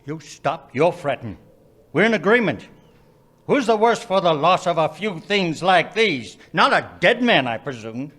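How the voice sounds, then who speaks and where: A man's voice: fairly high pitch, sing-song, unhurried, fairly bright tone, exaggerated voice. A man playing a pushy, grumbling undertaker's man. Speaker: radio play actor. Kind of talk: live radio play acting.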